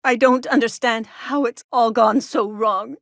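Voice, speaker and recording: A woman's voice: a little high, steady tone, unhurried, vocal fry, no room sound, clean studio sound. An actress playing a doting, anxious middle-aged frontier mother in a video game, with a melodramatic, folksy manner. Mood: hurt, disbelieving. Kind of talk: video game character dialogue.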